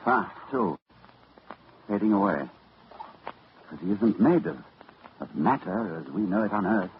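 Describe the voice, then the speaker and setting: A man's voice: sits low, animated, unhurried. A man playing a grave, driven doctor who narrates his ordeal urgently in a 1940s radio drama. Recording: muffled old radio broadcast, hiss.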